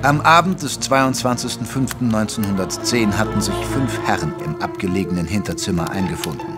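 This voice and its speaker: A man narrating a period mystery audio drama in a measured, literary manner. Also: fairly low pitch, lightly acted. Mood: measured narration. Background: music under the voice.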